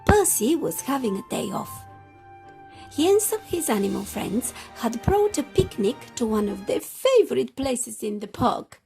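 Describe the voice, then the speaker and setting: A woman reading a children's picture book aloud as an expressive storyteller. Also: fairly high pitch, measured pace, creaky, soft background music.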